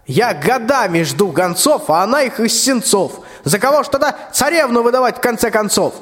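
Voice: medium pitch, lively intonation, moderate pace, natural voice. A performer playing a scheming, bossy old tsar in a rhymed radio play, with declamatory folksy speech. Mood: scolding, impatient. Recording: long hall-like reverb.

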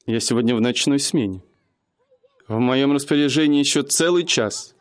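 A man's voice: medium pitch, moderate pace, lightly acted. An actor playing an earnest, humble young man who works as a restaurant cashier, speaking eagerly and politely in a radio play.

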